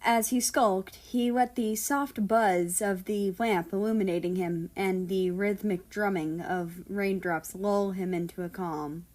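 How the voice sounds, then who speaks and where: A woman reading fiction aloud as an expressive narrator of a story. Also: medium pitch, measured pace, hardly any pauses. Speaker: fan fiction reader.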